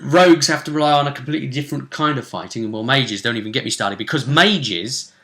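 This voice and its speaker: A man's voice: mid-range voice, fast, bright, forceful tone. A man casually explaining the rules of a tabletop role-play game as a host, chatting with a co-host. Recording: roomy, echoing room sound.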